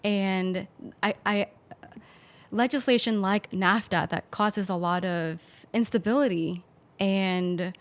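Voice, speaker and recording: A woman's voice: medium pitch, slow pace. A woman from Mexico, a podcast guest, speaking thoughtfully in casual conversation with many fillers. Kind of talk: conversational storytelling.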